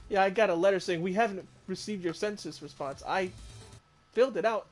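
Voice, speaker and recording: High-voiced chatty streamer. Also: male voice, faint music behind. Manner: chatty, quick to laugh. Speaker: streamer host. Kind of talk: casual gaming stream chat.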